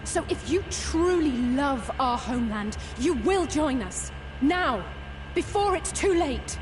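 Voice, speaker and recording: A resolute, high-voiced young girl. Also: expressive. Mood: resolute, pleading. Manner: deliberate, earnest. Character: resolute, earnest teenage girl, daughter of a statesman. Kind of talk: dramatic fantasy game acting. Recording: clear background noise and hum.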